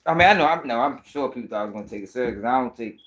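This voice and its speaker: A man's voice: medium pitch, lively intonation, very fast, very noisy. A man with a Baltimore accent hosting a casual livestream, talking to viewers in loose, profane street slang. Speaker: host of a commentary channel.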